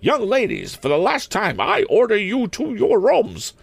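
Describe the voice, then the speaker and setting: Man putting on a bossy, high-pitched old maid principal. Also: huge pitch swings, measured pace, creaky, breathy edge, no room sound, full character voice. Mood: indignant. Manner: haughty, commanding, formal. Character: stern indignant old maid school principal.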